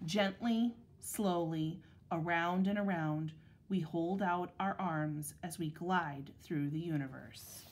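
A woman teacher reading a picture book aloud to young children, with measured, deliberate phrasing. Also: fairly low pitch, slight background noise.

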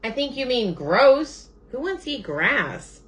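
A woman's voice: sits high, big pitch swings, slow pace, a bit bright, pitched above the performer's own voice, heavily acted. A woman voicing a whiny, picky male bird in a children's story, dramatic and demanding in his complaints. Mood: disgusted, indignant.

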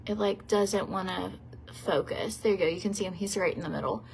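A woman in her thirties with a slightly sniffly, gravelly voice rambles cheerfully through a get-ready-with-me chat, full of likes and ums. She talks into a phone held at arm's length in a quiet hotel room, with a faint room hum behind her. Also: medium pitch, a little fast, low-quality recording. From Tennessee, US. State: sniffly from a cold. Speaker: beauty vlogger. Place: fancy hotel room, indoors.

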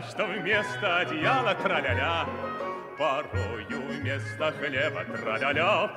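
Carefree singing vagabond for old radio play, slow, reverberant, over loud orchestral music. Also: male voice, a little high. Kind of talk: singing a light song in a 1960s radio play.

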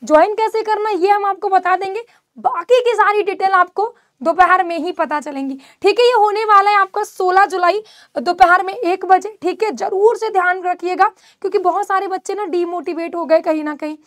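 A young woman exam-prep teacher speaking to students in an animated, direct way, gesturing in a studio with a lapel mic. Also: very high voice, normal speed, dry recording. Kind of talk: energetic online class announcement. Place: studio in front of a presentation screen.

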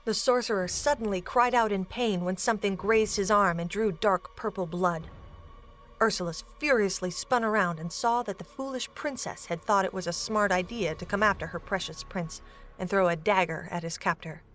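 A woman's voice: mid-range voice, moderate pace, fairly bright tone. A woman narrating a scripted dramatic story as the narrator of an audio drama. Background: music.

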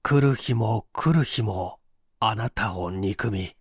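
A man's voice: lowish voice, slow pace, breathy edge, no room sound, studio quality, pitched below the performer's own voice, full character voice. A man playing a male secretary tormented by long-suppressed love, speaking with bitter, dramatic anguish. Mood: brooding hatred.

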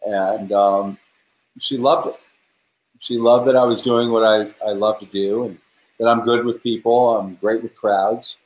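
A man's voice: lowish voice, steady tone, unhurried, a little mellow. A man, a musician guest telling his story earnestly over a webcam from a room at home. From New England. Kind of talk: casual video-call interview. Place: home room with guitars, indoors. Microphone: video call webcam. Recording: roomy webcam audio.

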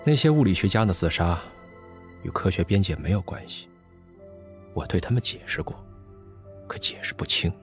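An actor playing a brooding, scornful physicist in mourning, with a sighing, world-weary manner, in an audio drama. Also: male voice, low voice, big pitch swings, moderate pace, pauses often, faint music behind. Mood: scornful, grave.

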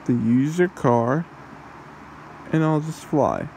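A possessive demon husband acted out by a man taunts his listener in an ASMR roleplay. He speaks very slowly in a mid-pitched, creaky voice, mocking and leaving long gaps. A faint noise sits behind him. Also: very animated, darkish tone, heavily acted, poor audio.

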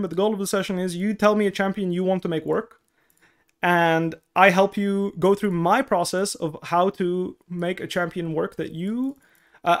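A young man whose first language is Dutch, coaching on a casual stream from a headset at his desk, explaining step by step with many okays. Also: high-pitched. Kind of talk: casual stream talk, answering chat. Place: small room indoors at a desk.

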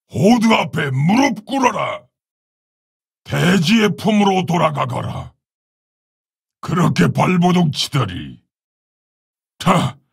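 A man playing a proud, solemn orc warchief in a video game, gravelly and husky, speaking in a commanding, stately, formal way. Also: medium pitch, dry recording, clean studio sound, heavily acted. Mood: battle fury, then solemn, confident. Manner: commanding, stately, old-fashioned formal speech. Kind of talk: video game hero voice lines.

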